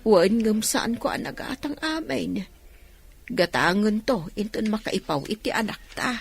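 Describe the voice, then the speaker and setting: A woman's voice: medium pitch, expressive, unhurried, creaky, slightly rough, exaggerated voice. An actress playing a worried poor mother, plaintive and beseeching, in an Ilocano radio drama. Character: pleading, worried poor mother of a sick child.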